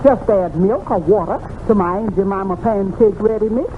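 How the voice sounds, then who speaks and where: A woman's voice: medium pitch, normal speed, close to an everyday voice. A woman playing a jolly, homey American pancake cook in an old radio commercial. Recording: noisy, narrow old radio recording.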